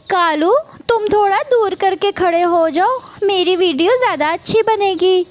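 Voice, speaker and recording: A woman's voice: very high voice, unhurried, voice pushed up. A woman voicing a vain, scheming female crow in a cartoon, bossy and showy. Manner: showy, self-important, bossy. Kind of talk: Hindi cartoon character acting.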